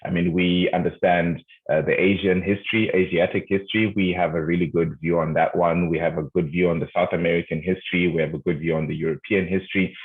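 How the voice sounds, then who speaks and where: A very deep-voiced man from Zimbabwe speaks as an interview guest through a tinny, phone-like video call line. He talks earnestly with frequent uh fillers and laughs easily. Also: level tone, fast talker, hardly any pauses. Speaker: guest, entrepreneur. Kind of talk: interview answers.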